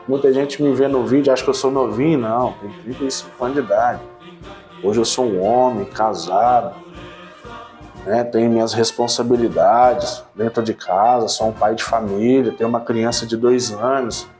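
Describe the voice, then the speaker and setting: A man in his thirties giving a casual personal church testimony, animated at times and subdued at others, often tagging his sentences with a questioning "né". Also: medium pitch, moderate pace, faint music behind. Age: 30s. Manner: animated storytelling, frequent "né?" tags, swings between excited and subdued.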